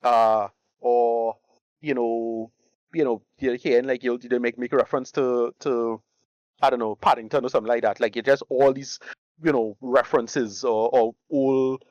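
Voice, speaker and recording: Guy on a podcast, mid pitch, slangy n repeats himself, kinda lo-fi dull mic. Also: fairly even intonation, a little mellow, dry recording. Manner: halting, repetitive phrasing with enthusiastic slang. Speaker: podcast co-host. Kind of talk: casual chat, reviewing favourite games.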